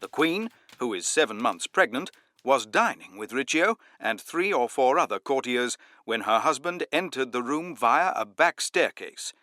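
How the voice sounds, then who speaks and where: A man's voice: medium pitch, sing-song, unhurried, hardly any pauses, pushed and bright, no room sound, studio quality. A man playing a formal, grave news reporter who declaims royal news in an audiobook. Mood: grave, urgent.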